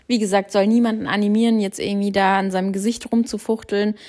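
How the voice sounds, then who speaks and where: A woman's voice: medium pitch, little pitch movement, quick pace, darkish tone. A young woman hosting her own podcast, chatting casually and rambling with lots of fillers and stray English words. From born in Australia, raised in Germany. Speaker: podcast host. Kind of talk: casual solo podcast chat.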